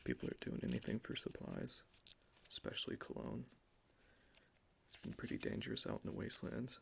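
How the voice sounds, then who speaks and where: A man doing an ASMR roleplay in a soft, mostly whispered, gravelly voice, close to the mic. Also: fairly low pitch, wildly swooping pitch, brisk, slight background noise. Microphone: close sensitive ASMR microphone.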